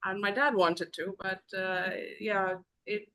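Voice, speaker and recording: A woman from Zambia talks as a podcast guest in a loose, rambling way, pausing often as she tells her story. Her voice sits in an ordinary middle pitch. She comes through a video call. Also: fairly even intonation, a bit bright. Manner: rambling, conversational storytelling with many pauses. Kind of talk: casual podcast conversation.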